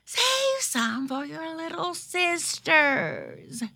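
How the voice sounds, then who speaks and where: A woman performs a young rabbit boy from a storybook. He is a harried, excitable older brother with a bright, high voice that jumps around. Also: very slow, vocal fry, dry recording, exaggerated voice. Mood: scolding.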